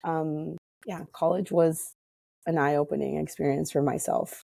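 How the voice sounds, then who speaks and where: Interview guest: American woman, deep mellow voice, conversational storyteller, dry sound. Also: little pitch movement, unhurried, creaky, no room sound. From Hawaii. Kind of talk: casual personal storytelling in conversation.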